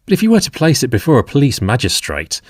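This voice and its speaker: Audio drama: level-headed, avuncular Victorian friend played by a man, animated delivery, reasoning and doubtful, dry studio-like sound. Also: mid-range voice, fast, no echo. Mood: sceptical reasoning. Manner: reasoning, expressive, formal period speech.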